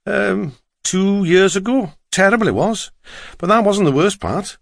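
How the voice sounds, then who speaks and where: A man's voice: sits high, animated, a little slow, vocal fry, dry recording, clean studio sound, voice pushed up, heavily acted. A man voicing a chatty, curious middle-aged taxi driver with a Welsh accent in an audiobook. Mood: grave, gossipy. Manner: chatty, lilting, inquisitive.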